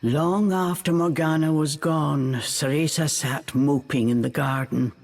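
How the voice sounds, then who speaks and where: A woman narrating a fairy tale for a video game in an expressive, measured storytelling manner. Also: sits low. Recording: faint music behind the voice.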